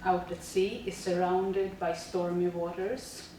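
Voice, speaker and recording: A woman's voice: on the low side, flat delivery, slow, warm tone, heavy reverb, recorded from a distance, a little hiss or hum, poor audio. A woman, a teacher reading a prepared presentation steadily with frequent fillers. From Sweden. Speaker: teacher presenting a school project.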